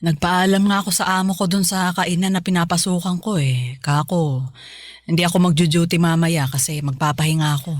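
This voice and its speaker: A caring middle-aged Filipino mother in a radio drama, acted by a woman. Her voice sits a little low and is recorded dry. She talks in a homely, doting way, with the weariness of long work shifts. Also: steady tone, fairly quick, no room sound, lightly acted. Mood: weary, caring. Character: caring, tired middle-aged working mother of grown daughter.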